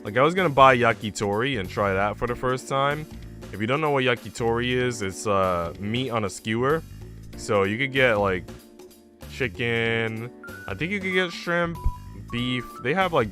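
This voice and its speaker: Male game streamer, fairly low voice, casual and slangy conversational delivery, with clear background music. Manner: slangy, conversational, often addressing listeners as bro and dude. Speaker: live streamer. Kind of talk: casual chat while reading a visual novel aloud. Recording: music behind the voice.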